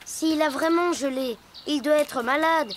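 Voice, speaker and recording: A woman's voice: high-pitched, unhurried, slight background noise. A woman voicing a naive, good-hearted young boy hippo in a children's cartoon, childlike and earnest, with music behind.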